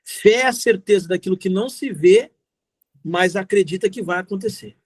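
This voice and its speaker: High-voiced Brazilian man, emphatic speaker. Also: normal speed, no echo. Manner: emphatic, repeats key phrases, informal and direct. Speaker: guest speaker, social entrepreneur. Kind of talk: motivational talk answering audience questions.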